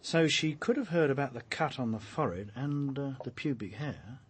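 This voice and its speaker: An actor playing a chatty, wry police detective in a naturalistic radio drama, colloquial and a little hesitant. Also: male voice, medium pitch, lively intonation, moderate pace, slightly rough. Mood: thoughtful, concerned.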